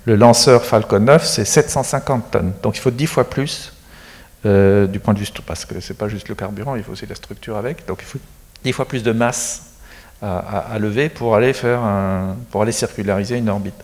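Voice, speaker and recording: A middle-aged man with a fairly low voice explains technical matters in a matter-of-fact way. He speaks into a handheld mic on a studio set. A long reverb trails his words, as in a big hall. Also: normal speed, a little mellow. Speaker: space agency expert guest. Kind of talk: studio interview.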